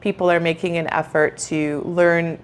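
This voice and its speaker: A woman's voice: on the low side, level tone, normal speed, a little hiss or hum. A middle-aged woman from Canada, co-hosting a casual travel chat in an upbeat manner, laughing easily. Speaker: co-host.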